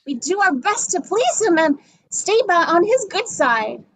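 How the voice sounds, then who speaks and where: A woman's voice: very high voice, very animated, a little slow, a bit bright, no echo, full character voice. A woman voicing an eager, sneaky child trick-or-treater henchman in a live script read. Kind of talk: live amateur table read of a film script.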